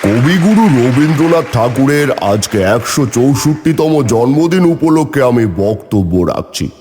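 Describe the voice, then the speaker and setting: A man's voice: medium pitch, lively intonation, moderate pace, a little mellow, soft background music, a faint crowd, lightly acted. A man voicing an earnest, dignified educator who gives a formal, oratorical school speech in a cartoon. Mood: solemn. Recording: long hall reverb.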